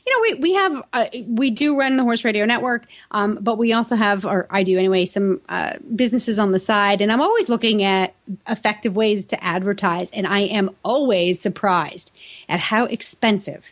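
American woman, podcast co-host, mid-range pitch, casual, chatty, playful. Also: moderate pace. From New England, United States. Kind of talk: casual co-host banter and chat.

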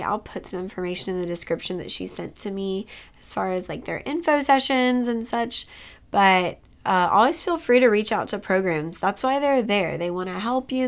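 A woman hosts a podcast while fighting a cold, her voice rough with creak. She stays upbeat and chatty, with frequent little fillers as she announces what comes next. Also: mid-range voice. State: has a cold, voice strained. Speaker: podcast host.

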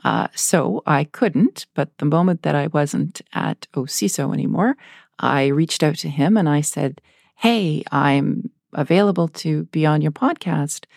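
A woman's voice: low-pitched, measured pace, vocal fry, a little mellow, no room sound, studio quality. A woman hosting a podcast interview, introducing her guest and asking questions with enthusiastic, effusive delight. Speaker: podcast host.

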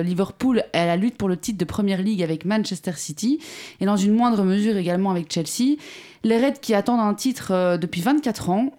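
A woman's voice: medium pitch, warm tone. A woman with a Belgian French accent reading her football column on a radio show, wry with teasing asides.

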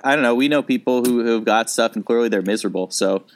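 A man's voice: medium pitch, fast talker. A man in his thirties, a comedian co-hosting a podcast, talking casually and thinking aloud with frequent restarts.

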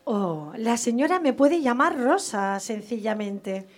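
Young maid for radio drama, high lilting voice, demure but sly, very polite. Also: female voice, big pitch swings, slow, full character voice. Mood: demure, knowing. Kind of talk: radio theatre acting.

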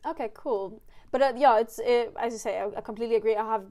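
A woman's voice: on the high side, quick pace, darkish tone. Swedish woman, english is her second language, hosting a chill podcast, lots of um and like. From Sweden. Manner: chatty, many fillers and restarts. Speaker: podcast host. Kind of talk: casual chat.

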